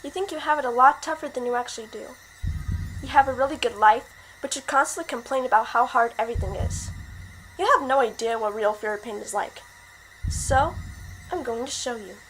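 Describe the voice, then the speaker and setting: A woman's voice: fairly high pitch, brisk, a bit bright, soft background music, natural voice, low-quality recording. A woman voicing a young pony filly, a cruel little sister who taunts and lectures coldly in an amateur fan-fiction drama. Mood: cold, condescending.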